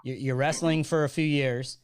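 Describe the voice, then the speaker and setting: A man's voice: a little high, steady tone, normal speed, smooth. A man hosting an interview, introducing his guest and asking encouraging follow-up questions. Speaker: interviewer, host.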